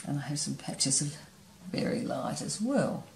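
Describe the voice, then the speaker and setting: Low, gravelly woman teaching painting, relaxed, thinking aloud, echoey studio room. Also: a little slow, a little hiss or hum. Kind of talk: painting demonstration narration. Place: studio table, camera overhead.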